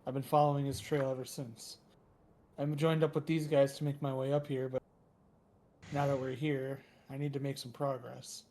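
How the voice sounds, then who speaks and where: A man's voice: mid-range voice, flat delivery, moderate pace, darkish tone. A man taking part in a tabletop roleplaying game, talking casually in character at the table.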